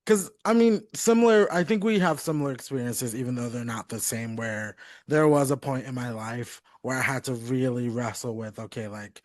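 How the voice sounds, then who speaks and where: A soft-voiced man hosting a podcast, chatting casually and acting out his own past thoughts. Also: mid-range voice, normal speed, no room sound. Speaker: podcast host. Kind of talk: casual interview talk.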